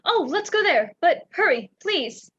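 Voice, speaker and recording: A woman's voice: extremely high pitch, sing-song, a little slow, a bit bright, exaggerated voice. A young woman playing a frightened, secretive spy in an amateur radio play, speaking urgently and dramatically. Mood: anxious, pleading. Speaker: student actor in a school radio play. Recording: dull lo-fi home recording.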